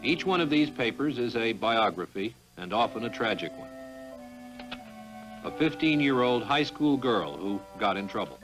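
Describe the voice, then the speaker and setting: A man giving scripted documentary narration in a grave, formal, measured way. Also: medium pitch, lively intonation, a bit bright, lightly acted, low-quality recording. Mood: solemn. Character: solemn male narrator of an adoption documentary. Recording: music behind the narration. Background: music.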